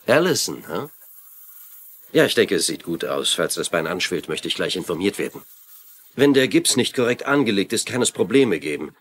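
An actor playing an affable, self-assured hospital doctor, genial and probing, in a dubbed TV drama. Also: male voice, low voice, big pitch swings, moderate pace. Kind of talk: dubbed TV mystery drama acting.

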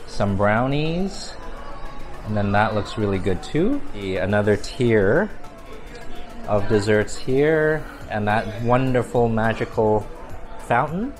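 A man's voice: fairly low pitch, lively intonation, takes it slowly. A middle-aged man giving a casual, appreciative food review to camera as a vlog host. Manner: appreciative, exclaiming over food. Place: large busy buffet restaurant, indoors. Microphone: clip-on lapel mic. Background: background music.

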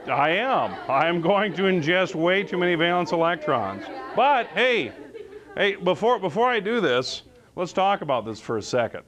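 A man's voice: sits high, sing-song, moderate pace, a bit bright. A man in his thirties, a chemistry teacher, talks informally and jokingly through a live classroom demonstration. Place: school classroom with students. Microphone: lapel mic. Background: classroom chatter and laughter.

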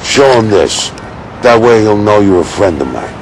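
An actor playing a gruff, menacing middle-aged mafia enforcer in a video game. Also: male voice, lowish voice, sing-song, moderate pace, slight background noise, low-quality recording. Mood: brusque. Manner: slangy tough-guy mobster talk. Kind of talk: video game cutscene acting. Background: music, car sounds.

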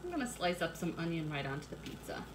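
A woman in her thirties reading a picture book aloud and explaining it to children in simple, expressive, teacherly phrases. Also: sits low, quick pace, background noise. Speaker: children's read-along teacher. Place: indoors at home, kitchen and a room.

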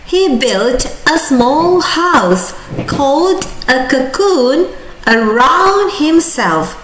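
A woman narrating a children's storybook in an expressive, deliberate, drawn-out read-aloud style. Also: high-pitched. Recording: roomy, echoing sound.

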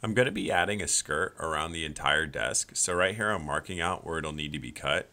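Man, 30s, very low bright voice, plain step-by-step build narration, faint hiss. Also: fairly quick. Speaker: woodworking channel host.

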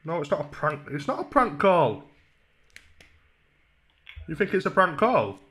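Young man, Northern English accent, bright forceful voice, deadpan mock-earnest prank caller, casual. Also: on the high side, measured pace. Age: 20s. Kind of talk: casual prank phone call. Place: small room at home. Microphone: desk microphone on a stand.

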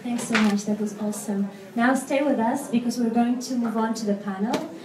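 A woman host introducing panel speakers on stage with upbeat announcements and filler pauses. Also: on the high side, heavy background noise. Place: event hall. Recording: echoey hall, voice from far off.

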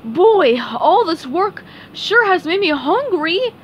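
A woman acts out a boisterous, childishly eager male cartoon starfish. The voice is loud and high with big swoops, told storybook style over faint noise. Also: big pitch swings, takes it slowly, highs cut off, heavily acted. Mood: pleased.

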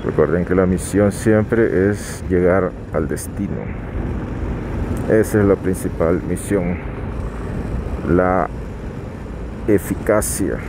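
A man calmly narrating a motorcycle vlog while riding, giving unhurried advice with some restarts. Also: low voice. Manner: calm, advising, unhurried with restarts. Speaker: motovlogger. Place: riding a motorcycle on a highway outdoors. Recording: road and wind noise.

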